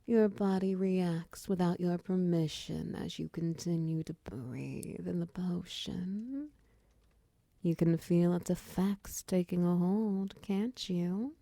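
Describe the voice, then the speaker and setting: A hulking female minotaur wizard, played by a woman in an intimate audio roleplay. Her voice is mellow and gravelly with fry, recorded dry and close. She is commanding and teasing, slipping into breathy whispers. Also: on the low side, takes it slowly, dry recording. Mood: seductive, teasing. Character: hulking muscular female minotaur wizard, bossy, teasing potion-shop owner. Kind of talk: roleplay ASMR audio drama.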